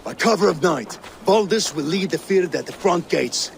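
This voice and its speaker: A man voicing a loyal Viking ally and battle planner in a game cutscene, speaking in an earnest, steady way. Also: sits high, moderate pace, gravelly, a little hiss or hum. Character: loyal male Viking ally, calm strategist. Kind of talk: video game cutscene acting.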